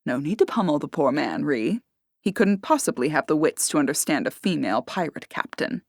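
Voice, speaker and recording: A woman voicing a calm, courteous young man who speaks in a measured, dryly amused way. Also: deep voice, vocal fry, no echo, clean studio sound, voice pushed down, heavily acted. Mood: wry. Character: calm, wry young man, gentlemanly brother.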